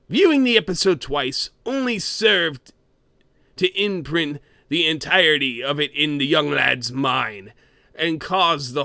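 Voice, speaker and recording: A man voicing a greedy, grumpy cartoon crab boss who reads a horror story in a theatrical, expressive way. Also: fairly high pitch, no room sound, clean studio sound, full character voice. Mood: storytelling. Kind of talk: dramatic creepypasta reading.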